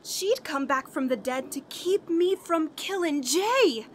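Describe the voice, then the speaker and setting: Actress doing frantic woman losing her mind, old radio horror style, slow dramatic delivery, bit of noise behind. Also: high-pitched, lively intonation. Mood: frightened, revolted. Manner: halting, overwrought, dramatic. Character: frantic, haunted woman on the edge of madness. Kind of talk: 1940s radio horror acting, dramatic monologue.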